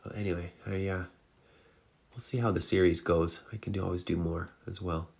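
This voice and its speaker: A man painting on a live stream, answering viewers in a soft, halting way while congested with a cold. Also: low voice, steady tone, vocal fry. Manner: soft-spoken, halting, many fillers. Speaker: painting instructor. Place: art studio, indoors.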